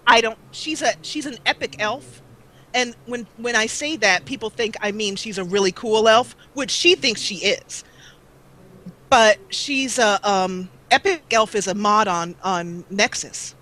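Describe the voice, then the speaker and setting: Bright-voiced woman on a live call. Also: medium pitch, a little slow, low-quality recording. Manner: animated, laughs often, rambling restarts. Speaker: guest, let's player. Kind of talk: casual live interview call. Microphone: call-in line.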